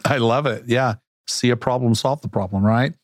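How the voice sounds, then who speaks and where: A man hosts a podcast interview, asking questions in an upbeat, conversational way. His voice sits at an ordinary pitch. The recording is dry and close. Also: normal speed, dry recording. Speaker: podcast host.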